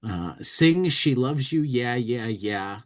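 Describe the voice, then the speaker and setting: A man's voice: mid-range voice, lively intonation, takes it slowly. A man co-hosting a podcast, talking casually and reading humor material aloud, often repeating words as he goes. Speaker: podcast co-host. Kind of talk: casual commentary with dramatic reading from a humor magazine.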